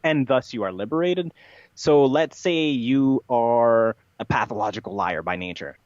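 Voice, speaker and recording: A man talking as a podcast guest, explaining his ideas assertively with halting pauses. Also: mid-range voice, expressive, darkish tone, no room sound, lo-fi sound. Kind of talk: conversational interview, expounding ideas.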